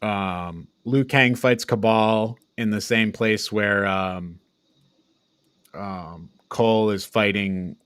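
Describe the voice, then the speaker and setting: A man with a fairly low voice talks through a recap as a podcast co-host. His delivery is informal and conversational. The sound is dry, with very little room on it. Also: fairly even intonation, takes it slowly, a bit bright, smooth, dry recording. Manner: informal, swears casually. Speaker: podcast co-host reviewing films. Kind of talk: casual recap discussion.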